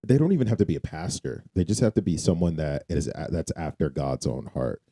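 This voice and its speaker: A man's voice: a bass voice, big pitch swings, a little fast, mellow. A man co-hosting a podcast in a casual faith conversation, informal and emphatic, repeating phrases to drive them home. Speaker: podcast co-host.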